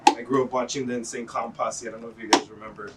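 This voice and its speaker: A man's voice: medium pitch, level tone, fast talker. A man from Los Angeles, a guest in a casual chat, laid-back and rambling, swearing often. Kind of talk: casual chat over a beer pong game. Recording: distant mic with loud background noise.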